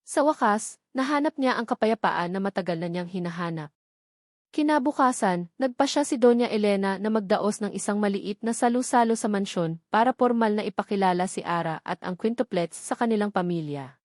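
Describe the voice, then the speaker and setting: A Filipino woman narrates a scripted romance story. Her voice sits at an average pitch for a woman. The recording is dry, with no room around the voice. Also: no echo.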